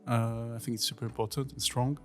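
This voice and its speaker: A Swiss man in his forties, a visiting design teacher, answers interview questions casually with many pauses and fillers. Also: mid-range voice, little pitch movement, a bit bright, faint music behind. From Switzerland. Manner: hesitant, many fillers, non-native phrasing. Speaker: guest workshop teacher.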